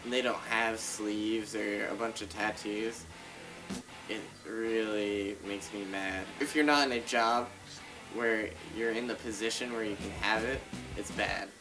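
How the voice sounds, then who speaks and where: A man's voice: fairly low pitch, fairly even intonation, a little slow, low-quality recording. A man talking casually in a reality show interview as a tattoo artist. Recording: music behind the voice. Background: music.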